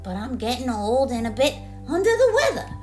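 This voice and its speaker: Woman doing a proud old grandpa groundhog, raised pitch. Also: sing-song, faint music behind. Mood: frank, folksy. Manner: announcing, deliberate.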